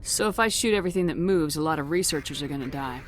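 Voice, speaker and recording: An actress playing a cool, determined military commander, the heroine of a video game, terse and assured. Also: female voice, low voice, fast talker, slight background noise, close to an everyday voice. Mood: sober, determined.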